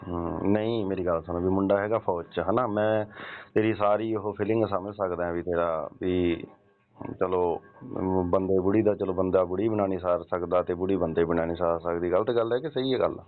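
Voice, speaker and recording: A man's voice: sits low, fairly even intonation, normal speed. A man playing a wheedling, insistent elderly father-in-law in a staged phone call, pressing and nagging with grunted question tags. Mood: reproachful, pressing. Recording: tinny narrow-band phone call.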